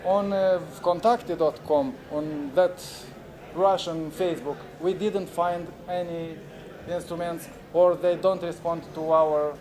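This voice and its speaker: A man in his forties from Moldova, a native Romanian speaker, presenting at a conference in halting English with many fillers and restarts. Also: a little high, fairly even intonation, a little mellow. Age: 40s. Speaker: moderator. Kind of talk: unconference talk. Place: conference room with audience. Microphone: handheld camera recording.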